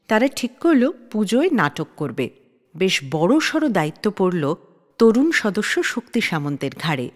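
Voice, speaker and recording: Lively woman radio storyteller, long reverb. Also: mid-range voice. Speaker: radio show host. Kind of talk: scripted storytelling narration for a music radio show.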